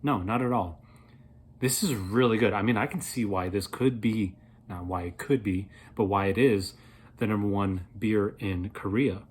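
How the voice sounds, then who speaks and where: A man in his thirties reviewing a product in a casual, playful way, rambling as he thinks aloud, with a slightly husky voice. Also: lowish voice, moderate pace. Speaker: beer reviewer, vlog host.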